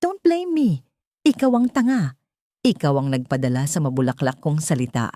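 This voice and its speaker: A woman's voice: low-pitched, lively intonation, moderate pace, warm tone, dry recording, studio quality. Woman acting a bitter, sickly Filipino mistress. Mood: bitter, accusing. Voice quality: thin voice. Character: frail, sick, bitter scheming mistress.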